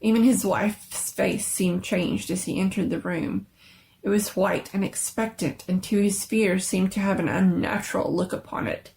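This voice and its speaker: A woman storyteller narrates a scary tale in a theatrical, suspenseful style. The voice sits at an average pitch with plenty of fry, and the phrasing is deliberate. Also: darkish tone, a little hiss or hum, low-quality recording. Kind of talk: dramatic scripted story narration.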